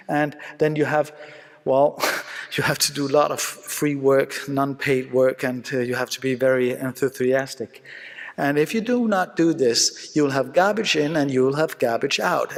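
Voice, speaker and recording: A man from Denmark with a Danish accent gives an informal conference lecture as a surgeon, speaking English as a second language with halting phrasing. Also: a little high. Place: conference hall, live talk. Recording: reverberant hall sound.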